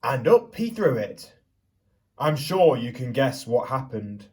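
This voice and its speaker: Animated man reading a children's storybook, slightly distant. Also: mid-range voice, slow pace. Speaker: primary school teacher reading aloud. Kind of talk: expressive children's storybook reading with questions to listeners.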